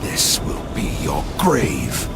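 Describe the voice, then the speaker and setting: A proud old knight in a game cutscene. He is grave and theatrical, with a gravelly voice that rises and falls dramatically, defiant and menacing over loud music. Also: rumbling low, wildly swooping pitch, takes it slowly, exaggerated voice. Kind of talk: video game cutscene acting.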